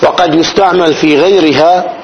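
Male grammar teacher, slightly high voice, slow step-by-step explanation, keeps asking if it's clear, dull lo-fi recording, faint hiss. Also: steady tone. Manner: explains slowly, often checks the class's understanding. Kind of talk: classical grammar lesson.

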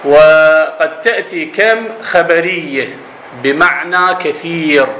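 Iraqi male teacher, bright, raised voice, quizzes his class, echoey room. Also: on the high side, slow pace, slight background noise. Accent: Iraqi Arabic. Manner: explanatory, asks students questions, slips into dialect. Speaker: grammar teacher. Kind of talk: classroom lesson on Arabic grammar.

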